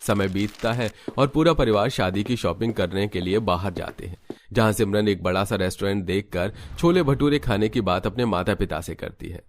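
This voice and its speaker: A man's voice: fairly low pitch, moderate pace, slight background noise. A man narrating a scripted cartoon family story.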